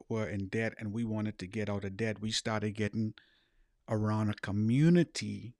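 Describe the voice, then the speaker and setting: A man's voice: lowish voice, no room sound, studio quality. A middle-aged man with a Bahamian accent giving an earnest, emphatic motivational talk as a podcast host and life coach, repeating key phrases.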